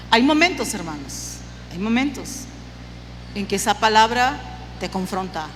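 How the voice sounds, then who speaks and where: A woman's voice: fairly high pitch, a bit bright. A woman preacher giving a sermon with personal testimony, speaking emphatically and repeating phrases for effect. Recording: long hall reverb, clear background noise.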